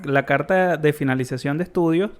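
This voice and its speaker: A man's voice: fairly high pitch, a little fast. A man hosting a medical podcast, asking questions and affirming the guest's answers. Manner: prompting, affirming interjections. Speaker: podcast host. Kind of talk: conversational interview.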